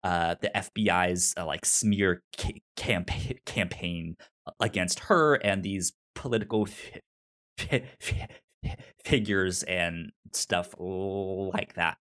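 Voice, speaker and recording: Slow-talking man with a pronounced stutter. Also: deep voice, a bit bright. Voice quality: pronounced stutter with repeated initial sounds. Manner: halting, informal, hesitant phrasing. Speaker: podcast host. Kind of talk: casual film pitches on a talk podcast.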